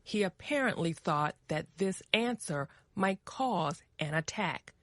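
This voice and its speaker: Low, unhurried woman storyteller. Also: lively intonation, no echo, clean studio sound. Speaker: story narrator. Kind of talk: dramatic story reading, slow learner English.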